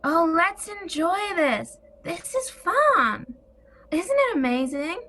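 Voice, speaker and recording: An actress playing a breezy, enthusiastic sister in an audio play, with a coaxing manner. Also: female voice, very high-pitched, sing-song, takes it slowly, sharp tone, heavily acted. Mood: breezy, delighted. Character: breezy, enthusiastic woman placating her cross sister.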